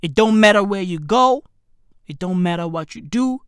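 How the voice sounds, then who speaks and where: Emphatic man ranting, bright voice. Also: high-pitched, expressive, normal speed, clear, resonant tone, no room sound, studio quality. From South Africa. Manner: emphatic, repetitive, swears heavily, drops to whispers. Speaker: comedian. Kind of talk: ranting monologue to camera.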